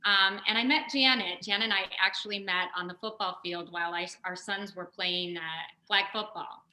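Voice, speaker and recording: A woman guest and business co-founder giving measured, articulate interview answers. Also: medium pitch, steady tone, pushed and bright, echoey room, poor audio.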